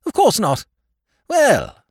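A man voicing an excitable young English aristocrat who talks in gushing exclamations, in a dry recording. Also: high voice, slow, vocal fry, slightly rough, dry recording, pitched above the performer's own voice, full character voice. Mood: cheerful. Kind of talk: audiobook character voice.

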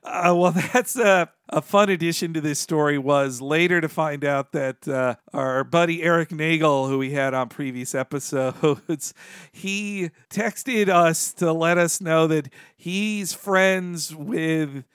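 A man talks casually as a podcast co-host, in a clear voice that sits a little high. He is enthusiastic and often laughs. The sound is very dry, with no room around it. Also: slow pace, fairly bright tone, no echo. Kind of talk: casual chat recounting a fan outing.

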